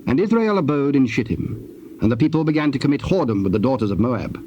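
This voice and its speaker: A man narrating scripture for a dramatized audio Bible in a measured, formal way. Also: mid-range voice, animated, warm tone, highs cut off, natural voice. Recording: faintly hissy old cassette tape.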